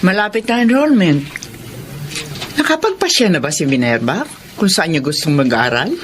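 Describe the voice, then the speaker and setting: A woman's voice: on the low side, big pitch swings, normal speed, soft background music. A woman with a Filipino accent playing a good-humoured older mother in a radio drama, chatty and amused.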